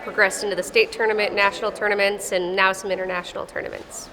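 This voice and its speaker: A woman's voice: mid-range voice, level tone, fast. Midwestern woman official in a noisy arena. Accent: Midwestern American with a slight Texas drawl. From Wisconsin, USA. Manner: friendly, matter-of-fact, easy laughs. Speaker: wrestling official. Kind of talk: casual interview answers. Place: wrestling tournament arena. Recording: echoey arena with crowd noise.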